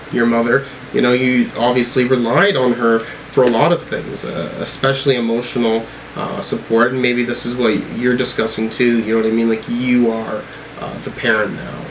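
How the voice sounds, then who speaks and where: A man hosts a casual music reaction, thinking aloud as he goes. His voice sits at a middling pitch, and some noise runs behind it. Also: level tone, moderate pace, rough recording. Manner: musing, thinking aloud while reading out lyrics. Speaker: host of music reaction videos.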